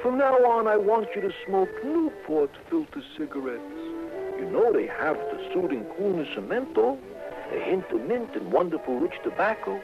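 Loud music plays behind an excitable, lovestruck counterman in a muffled old radio commercial. His voice rides high and swoops wildly as he swoons with comic exaggeration. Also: normal speed. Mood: dreamy, smitten. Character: smitten, excitable male diner counterman daydreaming.

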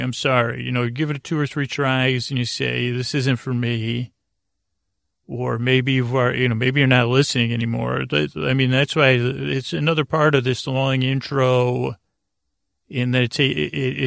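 A man hosting a bedtime podcast, meandering and halting as he rambles, often trailing off mid-thought. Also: mid-range voice, creaky, a bit bright, no room sound, clean studio sound. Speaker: podcast host.

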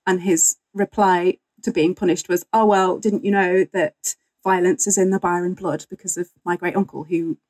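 A woman's voice: lowish voice, fairly even intonation, moderate pace, hardly any pauses, a little mellow, poor audio. A woman speaking as a guest author and historian, explaining things in a casual, informal, slightly wry way.

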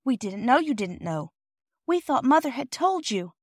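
An earnest, anxious storybook child, voiced by a woman, pleading with a grown-up. The voice is lifted high above the narration and recorded very dry. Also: very animated, normal speed, darkish tone, no room sound. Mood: earnest, apologetic.

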